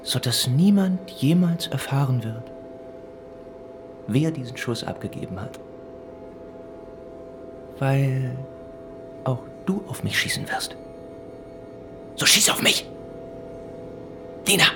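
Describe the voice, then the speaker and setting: An actor playing an intense, grandiose young lover in a German radio play, speaking in a declamatory, dramatic way. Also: male voice, mid-range voice, lively intonation, takes it slowly, pauses often, over music. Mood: fervent, desperate.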